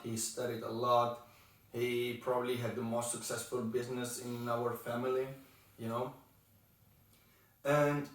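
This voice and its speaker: A man's voice: medium pitch, recorded from a distance. Guy in his 20s from morocco chatting as a podcast guest, hunts for words sometimes, big echoey room, sits away from the mic. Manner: searches for words, non-native phrasing. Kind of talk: casual interview talk.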